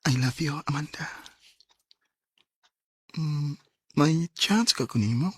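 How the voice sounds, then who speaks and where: An actor playing a shy, smitten young man courting online in a radio drama, with a Cebuano Bisaya accent and a husky voice. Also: on the high side, animated, slow pace, no room sound, exaggerated voice. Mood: tender, nervous.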